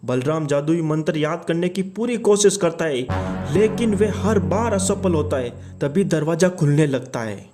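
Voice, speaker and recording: A man narrating a children's cartoon story in a steady storytelling manner. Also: on the high side, normal speed, faint music behind.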